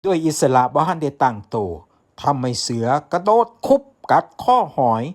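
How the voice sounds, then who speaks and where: A man's voice: on the high side, sing-song, moderate pace, background noise. A man with an Isan accent narrating a folktale, folksy and speaking straight to his listeners. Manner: folksy, addressing listeners directly. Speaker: folktale storyteller. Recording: music behind the voice.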